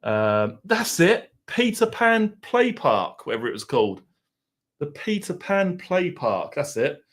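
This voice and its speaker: A man's voice: fairly high pitch, sing-song, measured pace. A man with an Essex accent hosting a live stream, rambling casually and chatting with his viewers. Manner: mild swearing, laid-back banter.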